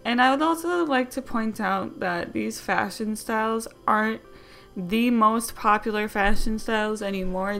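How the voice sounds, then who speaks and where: A woman's voice: fairly high pitch, creaky, bright, forceful tone, smooth. A young woman with a Wisconsin accent, chatting casually and jokily as she explains fashion styles in a vlog. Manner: bubbly, jokey, self-deprecating asides. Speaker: fashion vlogger.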